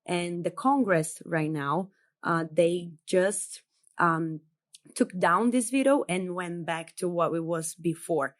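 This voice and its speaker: A woman's voice: fairly low pitch, slow pace. A woman who is a native Portuguese speaker, a journalist guest, explaining in a chatty way with many fillers on a remote podcast call. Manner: chatty, filler-heavy, laughs between points. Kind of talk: casual podcast interview. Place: home in Brazil, remote call.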